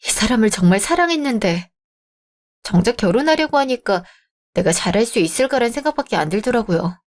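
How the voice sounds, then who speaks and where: A woman voicing a young bride-to-be in a radio drama, polite and measured but firm. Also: on the high side, lively intonation, lightly acted. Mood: troubled.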